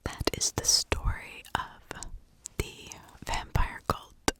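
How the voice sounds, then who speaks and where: A woman whispering in an informal ASMR style, telling stories and giving her own opinions in a breathy, conversational way. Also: takes it slowly. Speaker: ASMR true crime storyteller, channel host.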